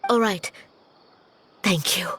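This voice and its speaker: An anxious mother from a video game. Her voice is mid-pitched and pleading, with light music behind it. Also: slow, fairly bright tone, a little hiss or hum. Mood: subdued, grateful.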